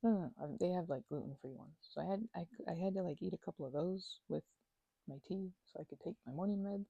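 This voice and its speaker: Casting: actress as female roommate with a migraine, ASMR audio drama. Husky, dry close sound, chatty, rambling, playfully indignant. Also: lowish voice, quick pace, warm tone, dry recording, lo-fi sound. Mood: sheepish, rambling. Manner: rambling, hesitant, teasing, mock-offended. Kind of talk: intimate ASMR roleplay, improvised-sounding conversation.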